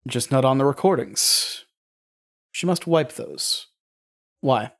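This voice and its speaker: A man's voice: medium pitch, expressive, gravelly, a bit bright, exaggerated voice. Cool, sardonic male interrogator, played by an actor. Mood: cool, mocking. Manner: dry, deliberate, mocking. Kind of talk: audio drama acting, naturalistic.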